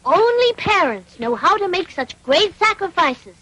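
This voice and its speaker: A woman's voice: very high-pitched, big pitch swings, measured pace, a bit bright, a little hiss or hum, full character voice, poor audio. A woman voicing a naive, eager wooden puppet boy in a dubbed cartoon, childlike and earnest. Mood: tender, earnest.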